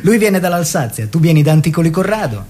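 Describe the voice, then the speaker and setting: Artist and mentor-lover: earnest, declamatory, measured, wide swoops from low to high, theatrical radio drama. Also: male voice, very animated, darkish tone. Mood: descriptive, lyrical. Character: earnest male painter, lover and teacher of his model.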